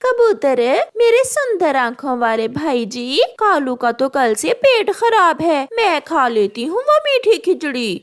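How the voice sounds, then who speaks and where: A woman voicing a greedy female crow in a children's cartoon story, flattering in a sugary, wheedling manner. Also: high-pitched, slow pace, hardly any pauses, full character voice. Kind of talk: animated children's moral story voice acting.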